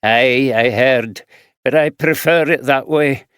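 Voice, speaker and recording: A man doing a gruff homeless Glaswegian drifter. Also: mid-range voice, slow pace, a bit bright, no room sound, clean studio sound. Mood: weary. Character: homeless Glaswegian man, grubby, coughing, rambling loner.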